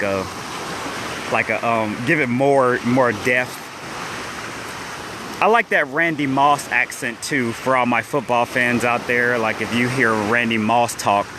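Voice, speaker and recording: A man's voice: medium pitch, noisy background. A young man with a downstate Illinois accent, rambling casually with lots of fillers while streaming from a phone at a beach at dawn. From central Illinois. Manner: rambling, many restarts and "like" fillers. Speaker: vlogger. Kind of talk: casual livestream chat. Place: beach at dawn, outdoors by the waves. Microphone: phone held while walking. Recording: phone video with loud surf behind. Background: surf and waves.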